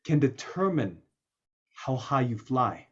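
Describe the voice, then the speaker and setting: A man hosting an interview show, enthusiastic, slowing down to stress his points. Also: slightly rough, lo-fi sound. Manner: enthusiastic, slows down for emphasis, lively pitch jumps. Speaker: host.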